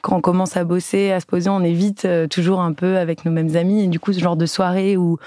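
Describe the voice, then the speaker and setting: A woman's voice: fairly low pitch, level tone, fairly quick, dark tone, no room sound. A woman hosting a podcast, asking light, encouraging questions in a friendly interview chat. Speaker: podcast host.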